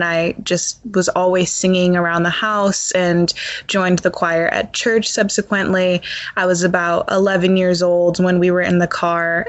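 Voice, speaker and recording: Woman from the congo, low-ish voice, chatty friendly singer guest, dry close sound. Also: no echo. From Republic of the Congo. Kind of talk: casual interview answers.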